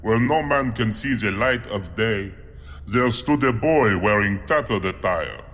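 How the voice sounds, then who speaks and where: Man playing courteous, deep, slow cannibal giant. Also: expressive, a bit bright. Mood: solemn, dramatic. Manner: formal, polite, theatrical verse recital. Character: huge male cannibal monster, courteous amateur poet, earnest and proud. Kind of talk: audio drama acting with poetry recital. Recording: long hall-like reverb.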